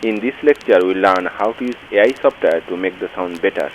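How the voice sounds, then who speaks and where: A man's voice: low-pitched, a little fast. A man reading a scripted lecture as a tutorial narrator, played as a sample with vintage vinyl effects. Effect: vintage radio filter with vinyl crackle. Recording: faint hiss and record crackle.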